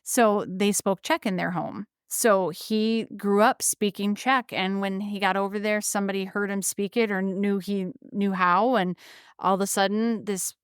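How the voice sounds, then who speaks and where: A woman from Wisconsin, co-hosting a podcast, telling a family story in a chatty, animated way. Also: mid-range voice, measured pace, smooth, no echo, clean studio sound. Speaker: podcast co-host, antique shop owner. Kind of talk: casual storytelling chat.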